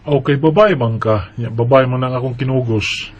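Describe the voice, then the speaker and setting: A man's voice: mid-range voice, normal speed, natural voice. A man acting an earnest, hesitant Bisaya partner who explains his plans in a colloquial radio drama style. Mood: coaxing, earnest. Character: earnest Bisaya man saving for land and marriage. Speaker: radio drama lead and letter narrator. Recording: dull lo-fi radio drama recording.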